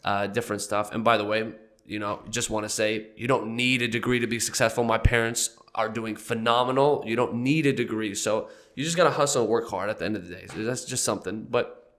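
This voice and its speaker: A man's voice: on the low side, steady tone, a little fast, fairly bright tone. A young man hosting a casual podcast, congested and a little nasal from a cold, joking loosely and coughing now and then. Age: young adult. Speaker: podcast host.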